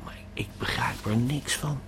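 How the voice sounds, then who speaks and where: Man acting a slow, stammering, bewildered tripper. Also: mid-range voice, whispered, close to an everyday voice. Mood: hushed bewilderment. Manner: stammering, hesitant, repeating words. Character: panicky, bewildered male holidaymaker tripping on a pill. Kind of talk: first-person audio drama monologue.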